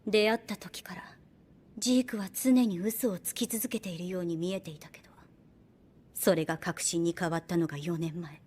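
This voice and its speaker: An actress playing a shrewd young woman soldier in an anime drama, composed, unhurried and coolly persuasive with a soft teasing edge. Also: on the low side, fairly even intonation, slight background noise, close to an everyday voice. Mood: low, probing. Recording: background music under the voice. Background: music.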